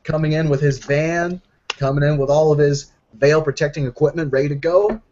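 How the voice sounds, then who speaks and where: A man casually recounting an in-character roleplaying story with slangy, sweary, animated delivery. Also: on the high side, fairly quick, slight background noise. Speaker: tabletop roleplay player. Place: online group voice call. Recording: dull lo-fi voice call.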